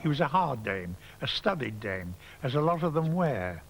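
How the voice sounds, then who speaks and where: A man's voice: mid-range voice, very animated, moderate pace, heavy background noise. Man fondly recalling a comedian. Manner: warm, fond, emphatic. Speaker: interviewee, theatre insider. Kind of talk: reminiscing interview.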